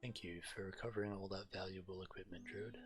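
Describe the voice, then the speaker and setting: A man's voice: deep voice, steady tone, fast. A man streaming a video game, reading quest text aloud as narration. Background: game music.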